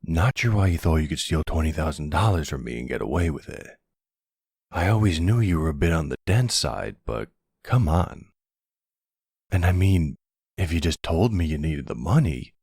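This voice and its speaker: A man voicing a middle-aged silver fox mafia boss in an ASMR roleplay drama, firm but protective, with a measured, fatherly, streetwise manner. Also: big pitch swings, a little mellow, no echo, studio quality, full character voice. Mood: incredulous. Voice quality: low gravelly husky.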